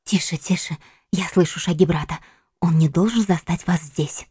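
A man's voice: high-pitched, expressive, normal speed, a little mellow, dry recording, clean studio sound, pitched above the performer's own voice. A man voicing a cunning, cold, seductive noblewoman in an audiobook reading, urgent and commanding in manner. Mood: hushed.

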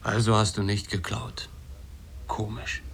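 An actor in a radio play voicing a small, plain-spoken sailor who is teasing and probing but helpful. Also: male voice, lowish voice, little pitch movement, unhurried, vocal fry, fairly bright tone, a little hiss or hum. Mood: suspicious, teasing.

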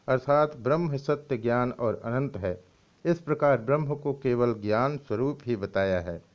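Dull lo-fi recording of a man reading scripture. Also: medium pitch. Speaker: swami reading and explaining scripture. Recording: dull, lo-fi audio.

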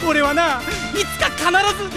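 An actor playing a brash, hot-blooded young would-be rock star in an audio drama, declaiming fervently in rough boyish speech. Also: male voice, very high voice, moderate pace, over loud music. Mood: defiant, fervent. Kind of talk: anime audio drama acting.

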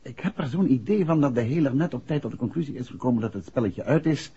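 An actor playing a composed, authoritative gentleman detective in a 1960s radio drama, speaking in a measured way. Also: mid-range voice, animated, a little hiss or hum, lightly acted. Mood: worried. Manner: measured, deliberate. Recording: muffled old 1960s radio recording.

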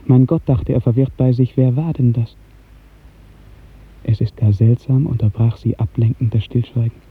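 A man narrating a literary radio drama in an earnest, lyrical, carefully enunciated way, with a soft, husky voice. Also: mid-range voice, steady tone, normal speed. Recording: muffled narrow-band 1950s radio recording.

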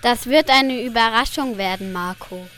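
A woman's voice: a little high, moderate pace, a bit bright, soft background music, lightly acted. A female performer playing an evasive mother in an amateur school radio play. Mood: caring. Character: evasive mother breaking divorce news to her children.

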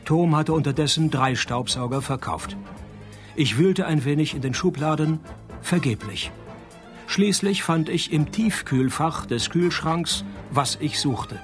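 An actor playing a wry, cynical private detective who narrates a parody noir radio play in a laconic, deadpan way. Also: male voice, on the high side, unhurried, faint music behind, lightly acted. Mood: deadpan narrating.

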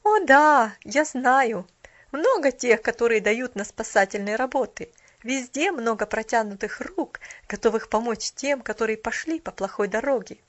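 A woman's voice: fairly high pitch, lively intonation, normal speed, lo-fi sound. A woman voicing a tired young shop cashier who speaks coolly with a bitter edge. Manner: cool, tired, faintly bitter.

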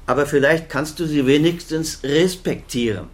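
A man's voice: a little high, normal speed, natural voice. An actor as a defensive, guilt-laden father. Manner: self-justifying, defensive, pleading. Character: defensive middle-aged doctor father, guilty and pleading. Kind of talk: naturalistic radio drama acting.

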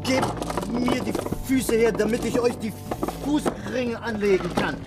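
A female performer playing an obedient, halting servant with a stammer in a children's radio play. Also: fairly high pitch, over loud music, natural voice. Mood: halting, hurried. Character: slow, stammering servant obeying the boss.

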